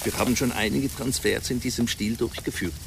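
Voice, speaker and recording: A man's voice: mid-range voice, steady tone, brisk, gravelly, background noise, natural voice. A man playing a composed, formal smuggling middleman in a German radio drama, precise and matter-of-fact. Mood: firm, reassuring. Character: composed, businesslike male money-smuggling middleman.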